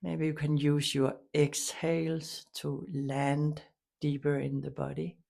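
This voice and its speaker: A woman leading a guided meditation, giving soft instructions in a calm, soothing, unhurried way with long pauses. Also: low voice, gravelly.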